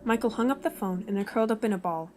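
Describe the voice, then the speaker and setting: A woman's voice: mid-range voice, quick pace, natural voice. A woman as anxious, sarcastic teenage boy narrator, music behind. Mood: narrating. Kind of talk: first-person narration in an amateur fan comic dub. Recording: amateur old-device recording, music behind. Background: video game music.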